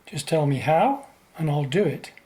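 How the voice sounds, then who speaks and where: Earnest young son for radio play, woman acting, lower register, very lively intonation, self-sacrificing. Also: moderate pace. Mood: resolute, pleading.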